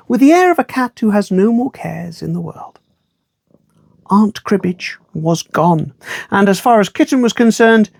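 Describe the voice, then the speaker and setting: A storyteller reading a children's book aloud in an expressive, measured, wry way. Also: medium pitch, gravelly, no echo.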